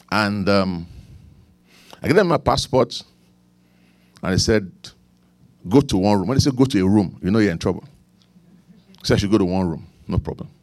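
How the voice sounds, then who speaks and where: A middle-aged man with a Nigerian accent preaching a sermon, repeating key lines, leaning into emphatic points and then dropping low for quiet asides. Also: no room sound. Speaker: pastor.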